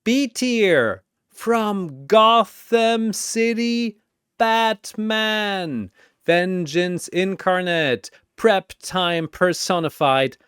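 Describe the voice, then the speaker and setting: A man voicing a flamboyant, bombastic arena showman, proclaiming fighters in a drawn-out, theatrical announcer's style. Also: high-pitched, a bit bright, clean tone, no echo, studio quality, pitched above the performer's own voice, full character voice. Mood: booming, proclaiming.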